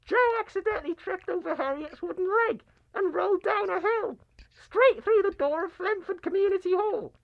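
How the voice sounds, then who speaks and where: A performer voicing a small, squeaky person absorbed inside a blob creature, pedantic and plaintive, in a comedy audio drama. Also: normal speed, vocal fry, dull audio.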